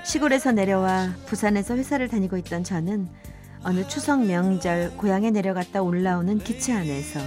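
A woman's voice: medium pitch, brisk, music in the background, natural voice. A woman playing a wistful narrator in her fifties, telling a sentimental first-love story for a radio drama. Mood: wistful, reflective. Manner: sentimental, reflective.

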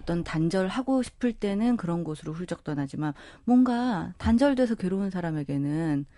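A woman's voice: fairly low pitch, lively intonation, brisk, dark tone, no room sound. A woman hosting a conversational radio interview, attentive and empathetic as she responds to her guest. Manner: attentive, empathetic, frequent backchannels. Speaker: radio host.

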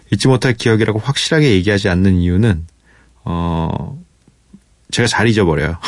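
Man, radio host, low and unhurried, dry studio sound, thoughtful late-night talk. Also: no echo. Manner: relaxed, thoughtful, with pauses and fillers. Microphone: studio microphone.

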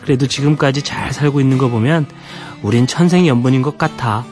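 A man's voice: mid-range voice, fairly quick, darkish tone, soft background music. A man on a radio show, expressively telling a listener's story.

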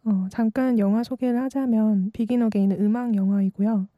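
A twenty-year-old woman hosting a late-night radio segment, reading a calm, reflective script. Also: a little high, brisk, no echo, lo-fi sound.

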